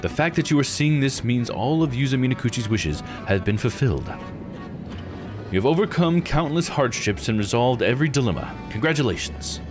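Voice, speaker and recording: A man's voice: mid-range voice, animated, brisk, over music, natural voice. A man voicing a courteous, formal storyteller from a mystery game, reading scripted narration ceremoniously. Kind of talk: scripted narration read aloud.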